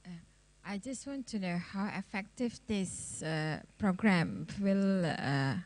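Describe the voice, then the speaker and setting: A woman from Indonesia asks a question from the audience in her second language, English. She pauses often and fills the gaps with hesitant sounds. Her voice sits at a middle pitch. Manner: hesitant, many fillers. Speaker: audience member.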